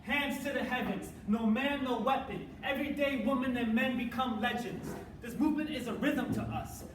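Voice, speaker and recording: A man performing rehearsed, dramatic spoken word in a measured, theatrical manner. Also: extremely high pitch. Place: live competition performance in a room. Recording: echoey room, distant mic, faint noise.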